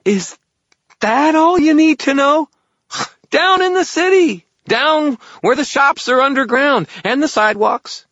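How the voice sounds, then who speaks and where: Excitable, high-pitched tiny fairy. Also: male voice, measured pace, dry recording, dull audio. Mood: impatient. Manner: shrill, exclamatory, impetuous. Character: excitable tiny male fairy, impatient and pizza-obsessed. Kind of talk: audiobook character acting.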